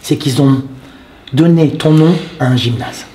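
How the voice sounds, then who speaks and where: A man hosting a casual interview, effusive and warm in his thanks, often restarting his sentences. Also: mid-range voice, measured pace, echoey room, a little hiss or hum, rough recording. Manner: effusive, repeats thanks and compliments, many restarts. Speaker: interviewer and channel host.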